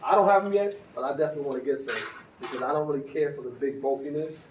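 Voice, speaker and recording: A man's voice: a little high, animated, very fast, recorded from a distance, slight background noise. A man giving a casual, conversational gear review as a motorcycle vlogger, with easy fillers and restarts. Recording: roomy, from a bit off the mic, faint ambience.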